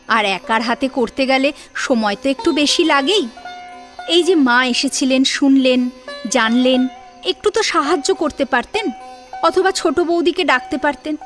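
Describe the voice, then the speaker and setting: A woman's voice: high voice, fairly bright tone. A woman narrating a scripted story for an audio drama.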